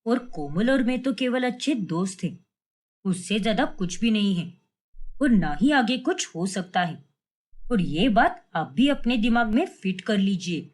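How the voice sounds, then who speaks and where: A woman's voice: mid-range voice, expressive, measured pace, darkish tone, voice pushed down. Woman doing an earnest, reproachful young son. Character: young adult son, frank with his mother. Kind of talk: cartoon family drama story.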